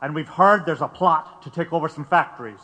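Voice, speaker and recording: A man's voice: on the high side, a little fast, pushed and bright. A man playing a hard, urgent police commander in an audio drama, insistent and clipped. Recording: long echoing hall reverb.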